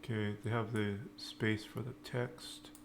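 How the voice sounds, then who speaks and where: Gravelly, slow-paced man thinking aloud while coding. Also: lowish voice, level tone. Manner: halting, piecemeal phrasing while typing. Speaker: programming tutorial instructor. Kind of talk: informal live-coding walkthrough, thinking aloud. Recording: noticeable background noise, keyboard typing.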